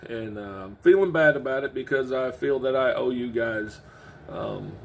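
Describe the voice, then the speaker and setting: A man talks informally to his viewers as a channel host, in a mid-pitched voice. He explains things step by step in a halting manner, like a casual video update. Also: vocal fry, a little hiss or hum.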